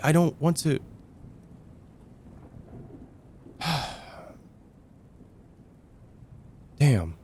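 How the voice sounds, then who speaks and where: Man acting as nervous lovesick guy friend, asmr roleplay, warm relaxed voice, halting n sincere, faint rain noise in the back. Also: medium pitch. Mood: flustered, resigned. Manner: halting, stammering, earnest. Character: lovestruck young male heartbreaker friend, nervous and earnest. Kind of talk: ASMR roleplay, intimate dramatic monologue.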